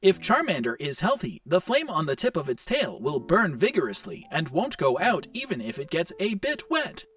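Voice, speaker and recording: An electronic creature encyclopedia from a video game, read by a streamer, informative and formal. It announces facts and instructions with a lively, varied intonation. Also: mid-range voice, moderate pace, hardly any pauses.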